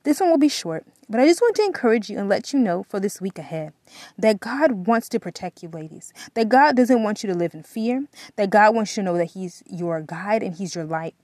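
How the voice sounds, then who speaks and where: Female faith podcast host, mid-pitched, earnest and exhorting, repetitive for emphasis, close dry recording. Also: lively intonation, brisk, darkish tone, no echo. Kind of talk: devotional encouragement talk with prayer.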